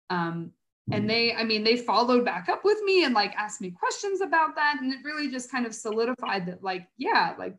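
A woman's voice: medium pitch, fairly bright tone. Female interview guest, American, mid-range voice. Earnest and chatty, working out her answers as she talks. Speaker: interview guest, nonprofit coordinator and mentor.

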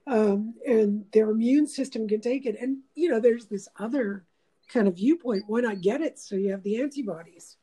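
A woman's voice: fairly high pitch, normal speed, dark tone, breathy edge, rough recording. A woman hosting a casual chat, talking in a meandering, reflective way with many fillers. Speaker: host.

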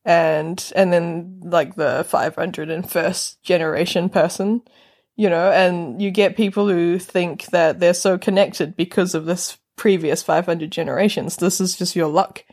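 A woman's voice: fairly low pitch, fairly even intonation, a little slow, a little mellow. A woman with a New Zealand accent in casual conversation, laughing often and talking with many fillers.

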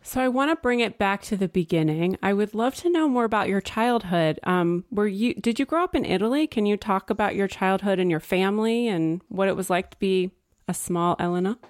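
A woman's voice: medium pitch, animated, brisk, dry recording, studio quality. A woman hosting an interview, asking open questions in a conversational way. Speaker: interviewer, podcast host.

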